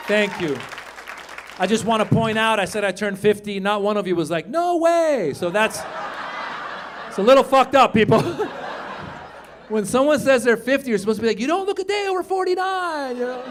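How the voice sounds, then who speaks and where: A man in his fifties performing a stand-up comedy set in a club, chatty and teasing the crowd, swinging loud for punchlines. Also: very high voice, lively intonation, moderate pace, slight background noise. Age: 50s. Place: comedy club stage with live audience. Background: audience laughter.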